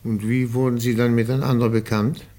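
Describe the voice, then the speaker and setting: An actor in a German radio drama playing a formal, probing interrogator with a restrained manner. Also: male voice, medium pitch, flat delivery, brisk, mellow, lightly acted. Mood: calm, probing.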